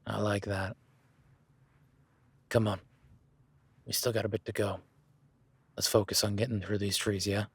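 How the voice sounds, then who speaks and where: Husky, low-voiced cowboy rancher, played by a man. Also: little pitch movement, measured pace, long pauses, dry recording. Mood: easygoing. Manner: folksy, plainspoken, talks to the listener. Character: easygoing seasoned male cowboy rancher. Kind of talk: audio roleplay.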